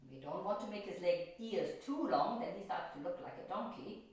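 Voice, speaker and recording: Older female art teacher, gentle and chatty, recorded from across a very echoey classroom. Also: on the low side, lively intonation, a little fast. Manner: gentle, chatty, talking to herself while painting. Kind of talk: painting demonstration for children. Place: classroom in front of a chalkboard. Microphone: far camera. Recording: echoey room from far off.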